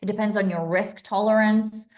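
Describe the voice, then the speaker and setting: Brief: young woman host, Aussie-American accent, chatty, upbeat live-stream patter, mid-pitched. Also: fairly even intonation, moderate pace, darkish tone. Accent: Australian mixed with American. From Australia. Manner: chatty and upbeat, many fillers and restarts. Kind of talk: casual live stream chat to viewers.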